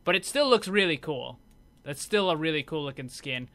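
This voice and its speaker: Bright-voiced man doing casual game commentary. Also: a little high, animated, moderate pace. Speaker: gaming video host. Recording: clear background noise.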